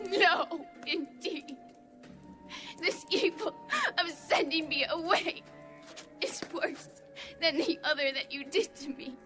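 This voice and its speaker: High-voiced, pleading young princess. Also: female voice. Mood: wounded protest. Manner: slow, imploring delivery. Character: pleading, wronged young princess of ancient Israel. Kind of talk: dramatized audio Bible acting. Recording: music under the voice.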